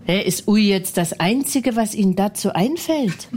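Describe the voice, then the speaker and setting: A woman's voice: medium pitch, expressive, normal speed, a little mellow, slight background noise. A woman in a comedy sketch, talking bluntly and brashly, ranting, mocking the other person and laughing often.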